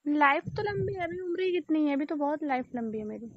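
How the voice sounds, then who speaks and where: A woman's voice: sits high, lively intonation, a little fast, a little hiss or hum. A woman chatting casually and privately on a recorded phone call, coy and hesitant.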